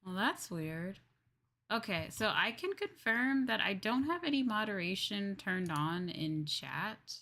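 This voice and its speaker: A woman's voice: mid-range voice, very animated, unhurried, sharp tone. A woman livestreaming a game with casual commentary, chatting to viewers and rambling as she thinks aloud. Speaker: livestreamer playing a farming game.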